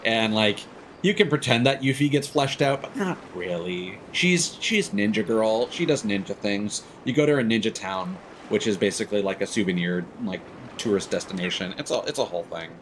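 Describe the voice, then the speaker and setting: A man's voice: on the low side, lively intonation, moderate pace, slight background noise, rough recording. A man hosting a game livestream, giving rambling, opinionated explanations in a casual, chatty way.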